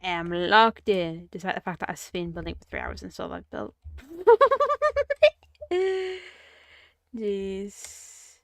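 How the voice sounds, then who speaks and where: A young woman streamer with a croaky, sore voice, chatting casually and muttering to herself while she plays. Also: on the low side, fairly even intonation, takes it slowly, pauses often, fairly bright tone, dry recording, studio quality. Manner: rambling, self-mocking, mutters to herself. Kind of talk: casual livestream chat while gaming.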